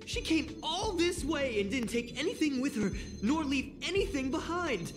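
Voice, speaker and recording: A woman's voice: sits high, normal speed. A woman playing an eager, earnest young space-station researcher who thinks aloud in a video game. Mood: dismayed, puzzled. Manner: thinking aloud, apologetic, excitable. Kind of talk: animated video game voice acting. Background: game music.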